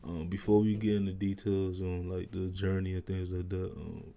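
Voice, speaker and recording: A man hosting a casual podcast interview in a university library, asking relaxed questions full of fillers. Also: sits low, normal speed, creaky. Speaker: podcast host.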